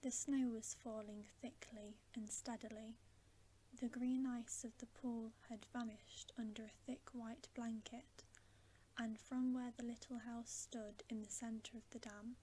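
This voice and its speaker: A woman reading a storybook aloud in a hushed, soothing ASMR whisper. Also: on the high side, slight background noise, rough recording. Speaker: ASMR narrator.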